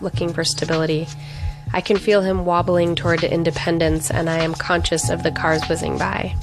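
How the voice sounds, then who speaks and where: A woman reading a scripted personal essay in a reflective, deliberate and intimate way. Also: lowish voice. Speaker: narrator. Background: music.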